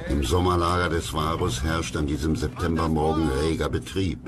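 A man narrating a documentary from a script, dramatic, solemn and measured. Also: very deep voice, steady tone, a crowd in the background.

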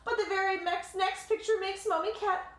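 A woman in her thirties reading a picture book aloud to children like a teacher, with dramatic, deliberate phrasing and simple explanations, recorded in a living room. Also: extremely high pitch, steady tone. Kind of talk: expressive storybook read-aloud with explanatory asides. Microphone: camera or phone a short distance away. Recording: roomy home echo.

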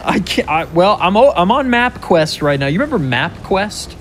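A man's voice: sits high, very animated, moderate pace, a little hiss or hum. A man in his twenties hosting a gaming stream, trading dry jokes with his guest. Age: 20s. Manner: dry, joking banter. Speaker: host. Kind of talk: casual co-host chat.

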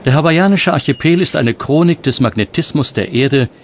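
A man reading scripted documentary narration in a measured, explanatory manner. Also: fairly high pitch, lively intonation, slight background noise. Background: background music.

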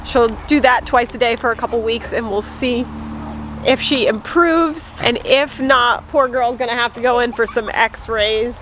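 A young woman with a fairly high voice chats casually through a farm vlog. She films herself outdoors on a phone held at arm's length, and a faint hiss sits under her voice. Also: a little slow, a bit bright, low-quality recording. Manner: chatty, light laughs, baby-talks to her dogs. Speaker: farm vlogger.

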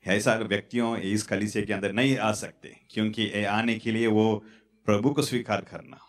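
A man in his thirties preaching a sermon on a church stage into a handheld mic, halting and repetitive. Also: on the low side, little pitch movement. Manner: halting, repetitive, fillers and restarts. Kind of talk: sermon with prayer. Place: church stage in front of curtains.

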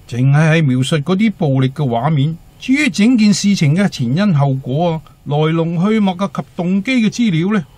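A man's voice: a little high, animated, moderate pace, hardly any pauses, darkish tone, no room sound. An actor playing a talkative, timid male waiter with a Hong Kong accent and comic exaggeration in a radio drama. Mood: animated explaining. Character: chatty, timid young male teahouse waiter, eager joker.

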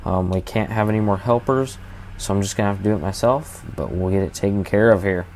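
Low-voiced man narrating his farming game. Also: fast, a little mellow, slight background noise. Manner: easygoing, rambling, narrates his actions with many fillers. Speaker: gaming channel host. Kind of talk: casual gameplay commentary.

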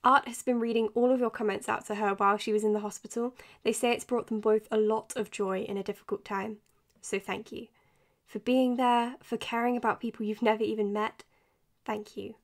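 A woman's voice: on the high side, flat delivery, a little fast, natural voice. An actress playing a caring, tired woman doctor in a naturalistic audio drama, speaking earnestly and hesitantly. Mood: earnest, subdued. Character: anxious, caring, tired young female doctor. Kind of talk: naturalistic audio drama, confessional podcast narration.

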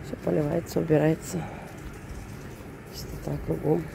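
A woman in her forties with a hoarse voice from a cold, chatting in a rambling, casual way while walking around a seaside resort. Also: low voice, level tone, moderate pace, background noise. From Russian-speaking, living in Germany. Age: 40s. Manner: chatty, rambling, self-correcting. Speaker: vlogger. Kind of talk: casual walking vlog commentary. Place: outdoors at a seaside resort in early morning.

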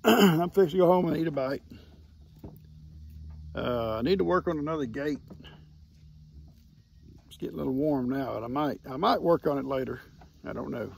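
A man, hoarse from losing his voice, chatting and teasing his farm animals outdoors into a phone held at arm's length. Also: fairly high pitch, normal speed, long pauses, darkish tone, a little hiss or hum. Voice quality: gravelly. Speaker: farm vlogger. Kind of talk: casual talk to animals and camera. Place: outdoors under a shade tree on a farm.

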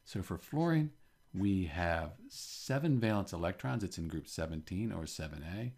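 A man with a fairly low voice gives an informal chemistry tutorial. His intonation moves up and down a great deal as he explains each step methodically, in the manner of a teacher working through a problem. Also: lively intonation, moderate pace, a little mellow, slightly rough.

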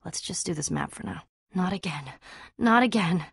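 Casting: tough young game heroine, blunt, loyal and wry, husky with a gravelly edge, dry close recording. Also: female voice, on the low side, animated, moderate pace, no echo, full character voice. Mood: uneasy, confiding. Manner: blunt, casual, earnest. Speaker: game voice actress. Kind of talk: animated adventure game dialogue.

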